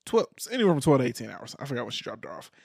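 Young Texan man, podcast host, easygoing and profane, mid pitch, dry recording. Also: huge pitch swings, very fast, gravelly, a little mellow, husky, no echo. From Houston, Texas. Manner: laid-back, swears freely, laughs often. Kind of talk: casual chat.

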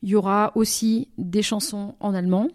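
A woman's voice: medium pitch, steady tone, moderate pace, darkish tone. Reflective French-speaking woman singer. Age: over twenty. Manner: thoughtful, often restarts and rephrases. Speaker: singer, interview guest. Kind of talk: reflective interview talk.

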